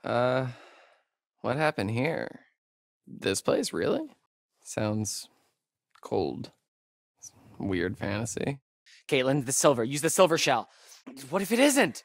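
Casting: wry young American camp counselor for a horror game; naturalistic, sarcastic, mid-pitched with vocal fry. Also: male voice, sing-song, slow pace, long pauses, no room sound, clean studio sound, heavily acted. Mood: uneasy, wry. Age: young adult. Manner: sardonic, hesitant, conversational. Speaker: video game actor.

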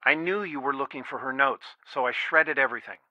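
A man's voice: mid-range voice, brisk, pushed and bright, dry recording, lo-fi sound. A man voicing a stern, worried middle-aged father and lawyer in a video game, earnest, firm and protective. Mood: angry, firm. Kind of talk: video game voice acting, one side of phone conversations.

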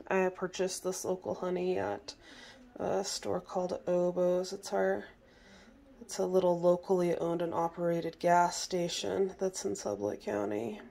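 A young woman in her kitchen chatting casually to her phone camera, clearing her throat now and then. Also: lowish voice, little pitch movement, measured pace, mellow. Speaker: vlogger. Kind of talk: casual home vlog narration. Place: home kitchen, indoors.

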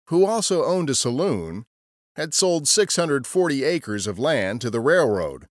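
Male podcast narrator, mid-pitched and expressive, scripted storytelling in a dry recording. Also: no echo.

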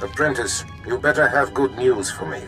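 An actor playing a cold, menacing Sith master in a video game, speaking formally and imperiously. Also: male voice, medium pitch, expressive, normal speed, over music, lightly acted, poor audio. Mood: stern, threatening.

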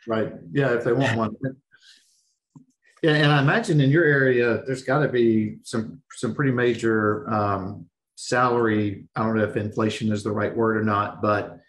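A middle-aged man hosting a podcast, hoarse and losing his voice, talking in a relaxed, rambling way over a video call. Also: lowish voice, normal speed, poor audio. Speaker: podcast host. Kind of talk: casual podcast interview. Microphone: video call webcam.

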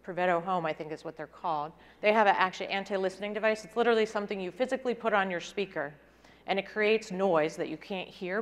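A middle-aged woman presents from a conference stage in a conversational way. Her voice is fairly low and cleanly resonant, ringing out in a large reverberant hall. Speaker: conference speaker, corporate executive. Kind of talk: informal business presentation. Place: large indoor conference stage before an audience. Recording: echoey hall with long reverb.

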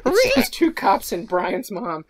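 A man's voice: very high-pitched, huge pitch swings, a little slow, noisy background. A man in his twenties casually narrating an improvised story on a video call. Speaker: improv podcast performer. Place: home room, video call.

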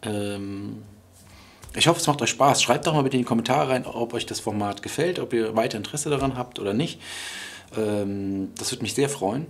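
A man's voice: mid-range voice, moderate pace. A man chatting casually to his viewers as a vlogger, rambling and thinking aloud. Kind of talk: casual vlog chat. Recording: clearly audible ambient background noise.

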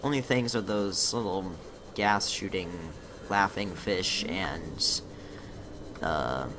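A young man commentating his own gameplay in a casual, chatty way, muttering to himself, his voice slightly rough from a recent illness. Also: sits low, takes it slowly, background noise. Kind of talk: casual let's-play commentary.

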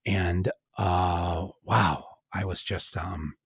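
A man in his sixties with a gravelly, very husky voice, musing through a loose podcast monologue. He rambles and laughs at himself, filling gaps with "uh" and "um". The sound is close and dry. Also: low-pitched, fairly even intonation, very slow, no echo. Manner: rambling, self-deprecating, with chuckles and frequent "uh". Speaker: podcast host. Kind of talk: casual monologue.

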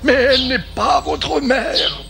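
Cartoon old explorer professor, very high creaky voice, unhurried, fussy and courteous, music behind. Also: male voice, sing-song, full character voice. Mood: flustered. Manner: fussy, polite, exclaiming.

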